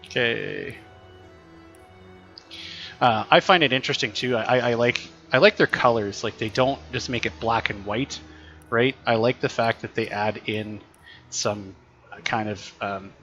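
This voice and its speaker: A man, a teacher, chatting casually while playing a role-playing game, thinking aloud with frequent restarts and a slightly raspy voice. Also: mid-range voice, a little slow, long pauses, fairly bright tone, dull audio, soft background music. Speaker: teacher streaming games. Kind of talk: casual gameplay commentary.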